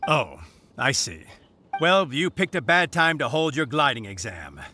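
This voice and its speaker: Officious, bright-voiced game knight. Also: male voice, medium pitch, big pitch swings, unhurried, faint music behind. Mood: explaining. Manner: formal, declamatory, officious. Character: officious, by-the-book male knight lawman. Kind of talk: video game voice acting.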